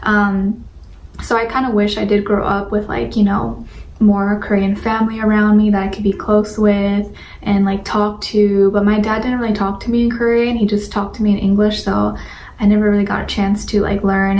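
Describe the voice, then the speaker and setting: A woman's voice: mid-range voice, little pitch movement, moderate pace, darkish tone. Young woman vlogging in an echoey room. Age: young adult. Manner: informal, rambling, filler-heavy with frequent "like". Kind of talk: casual chat to viewers. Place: indoor room at home with a sunlit window. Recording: roomy echo, distant mic, faint noise.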